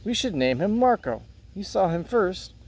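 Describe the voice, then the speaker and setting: Man voicing an eager, high-pitched teenage girl. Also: big pitch swings, normal speed, darkish tone, breathy edge, far from the mic, heavily acted. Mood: curious. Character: Mel, outspoken eager eighth-grade girl with a camera.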